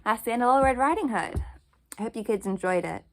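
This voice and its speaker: A woman's voice: fairly high pitch, animated, fast, slight background noise. A woman narrating a children's bedtime story as she reads from a storybook. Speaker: storybook reader.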